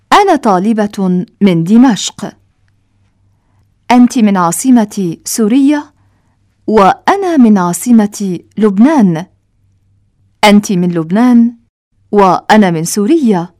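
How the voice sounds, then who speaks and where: Slow, lively-voiced woman reading a lesson. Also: mid-range voice, dry recording, clean studio sound. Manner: slow, carefully enunciated for learners. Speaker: language lesson reader. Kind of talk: scripted lesson dialogue.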